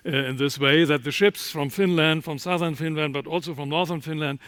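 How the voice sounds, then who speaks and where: Higher-pitched German-accented man, dry sound. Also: steady tone, brisk, a bit bright, clear, resonant tone, dry recording. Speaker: panelist. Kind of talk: panel discussion answer.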